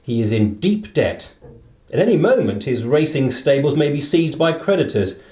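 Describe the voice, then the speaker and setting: A man playing a shrewd, commanding British detective in a radio play, speaking deliberately and with theatrical authority. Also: on the high side, expressive, warm tone, reverberant, close to an everyday voice. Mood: musing, deductive. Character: shrewd, commanding male detective, coolly reasoning.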